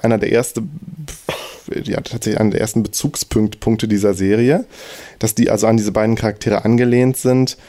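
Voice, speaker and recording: A man's voice: on the low side, level tone, a little fast, mellow. A man hosting a casual podcast chat, talking in loose, hesitant sentences with many restarts. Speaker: podcast host.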